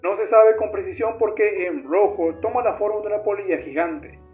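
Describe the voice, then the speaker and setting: A man's voice: sits high, moderate pace. A man reading scripted, documentary-style horror narration as the narrator. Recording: dull lo-fi sound under music. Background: music.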